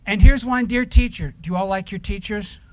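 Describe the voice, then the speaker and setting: A man's voice: high voice, a little fast, a little mellow, rough recording. A man leading a children's time at church, asking simple questions, repeating answers and reading short pieces aloud. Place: church service.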